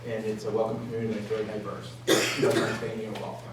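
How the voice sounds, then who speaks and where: Flat-pitched man in a very echoey hall. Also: fast talker. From Peru. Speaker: city council candidate. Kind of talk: informal campaign speech. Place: large indoor public forum room. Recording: echoey hall, distant mic, background noise.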